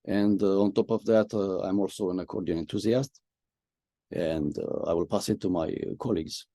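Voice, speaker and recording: A man hosts a webinar over a video call, filling his pauses with "uh." His voice is lowish and dark. The sound is dry and close. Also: dry recording. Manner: frequent "uh" fillers, friendly moderator. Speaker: host, media and communication lead. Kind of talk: webinar hosting.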